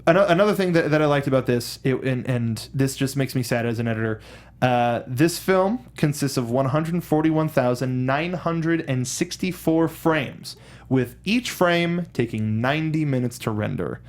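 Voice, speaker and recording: A man co-hosting a casual film discussion, speaking in a halting, rambling way and often circling back to rephrase with enthusiasm. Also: medium pitch, animated. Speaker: co-host of a film discussion show.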